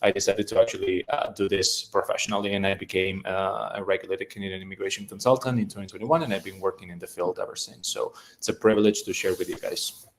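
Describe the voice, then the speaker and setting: Immigration consultant: a man from Argentina, fairly low voice, halting delivery with many fillers. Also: fairly even intonation, poor audio. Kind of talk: self-introduction on a webinar.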